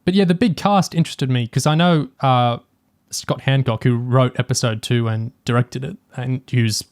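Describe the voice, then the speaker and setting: A man's voice: mid-range voice, moderate pace, dry recording, studio quality. A man co-hosting a podcast, talking casually and analytically through a review, hesitantly thinking aloud as he goes. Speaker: podcast co-host.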